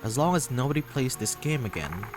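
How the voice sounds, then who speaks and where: A man's voice: mid-range voice, very animated, fairly quick, pitched above the performer's own voice, natural voice. A man voicing an earnest, sweet, anxious and lovestruck character from a visual novel game. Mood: relieved, grateful. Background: background music.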